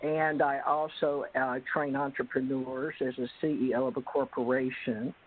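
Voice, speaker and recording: Hesitant woman host who pauses often, heard over phone-quality audio. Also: a bass voice, fairly even intonation. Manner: slow, hesitant, many pauses. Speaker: show host. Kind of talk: self-introduction on a call-in panel. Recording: tinny phone line.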